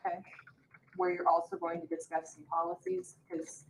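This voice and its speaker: A woman's voice: very high voice, big pitch swings, moderate pace, vocal fry, poor audio. A woman talking informally in a public meeting, with faint noise behind her. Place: indoor public meeting room.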